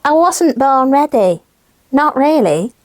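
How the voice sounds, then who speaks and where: A playful young puppet co-host with a foreign accent. The voice is very high and wildly animated, with words drawn out very slowly. It sounds like children's comedy news. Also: exaggerated voice. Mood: playful, cheeky. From Caracas, Venezuela.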